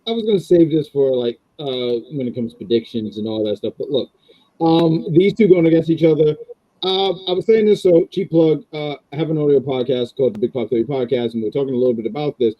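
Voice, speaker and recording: A man's voice: mid-range voice, fast, no echo, dull audio. A man, co-hosting a casual wrestling review, rambling and chatty with lots of ums. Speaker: podcast co-host.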